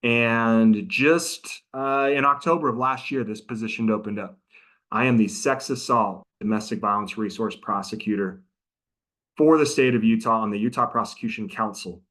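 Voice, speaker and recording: A man's voice: on the low side, moderate pace, fairly bright tone. A middle-aged man giving a casual, chatty training presentation over a video call as a prosecutor and trainer. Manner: casual, chatty, interactive with audience.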